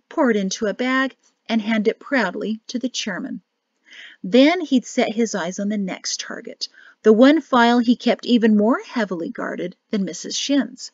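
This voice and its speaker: Woman with a rough, crackling voice. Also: mid-range voice, dry recording, dull audio. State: nearly losing her voice. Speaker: audiobook narrator. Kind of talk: reading a novel aloud.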